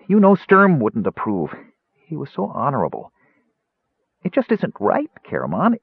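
A man's voice: medium pitch, wildly swooping pitch, a little fast, husky, no room sound, phone-quality sound, pitched above the performer's own voice. A man voicing a small, guileless kender from a fantasy story, chattering eagerly and earnestly in a rambling way. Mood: earnest, troubled. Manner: rambling, eager, matter-of-fact reasoning.

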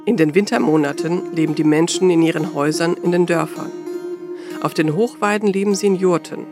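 Guy narrating a radio feature, highish creaky voice, bit of guitar behind. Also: brisk. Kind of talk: scripted documentary narration.

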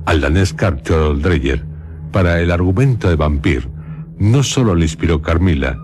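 Casting: male radio host, Castilian accent, extremely deep, measured scripted narration with music underneath. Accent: Castilian Spanish. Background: background music.

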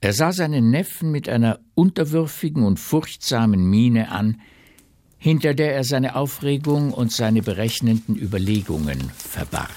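A man reading scripted literary narration for a German radio drama in a husky voice. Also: medium pitch, expressive, moderate pace, warm tone.

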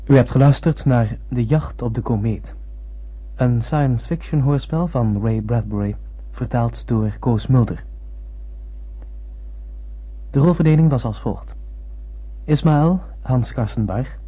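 A man announcing formally on the radio, reading credits in a measured way with a husky voice. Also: mid-range voice. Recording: dull, muffled old radio recording.

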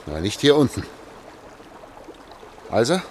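An actor playing a desperate, agitated engineer on the run in a German radio drama, halting and repeating himself as he pleads. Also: mid-range voice, very animated, darkish tone, a little hiss or hum. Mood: grimly determined. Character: desperate, agitated male engineer fleeing underground.

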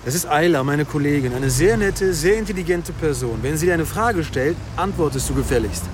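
An actor in a radio drama playing a cocky, needling police detective, sarcastic and commanding. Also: male voice, fairly high pitch, animated, a little fast, natural voice. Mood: needling, threatening. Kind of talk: naturalistic radio drama acting. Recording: clear outdoor car and traffic noise.

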